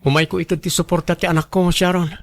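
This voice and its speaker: An earnest young father in a radio drama, pleading with his former partner. The voice is fairly high for a man, with a marked creak, recorded dry. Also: little pitch movement, fast, dry recording. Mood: earnest, coaxing. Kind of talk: Ilocano radio drama acting.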